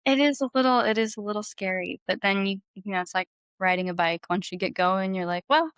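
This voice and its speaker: A woman's voice: medium pitch, steady tone, fairly quick, a bit bright, smooth, no room sound. A woman chatting casually as an interview guest, informal and playful, peppering her sentences with "like". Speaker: podcast guest, musician. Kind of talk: casual chat.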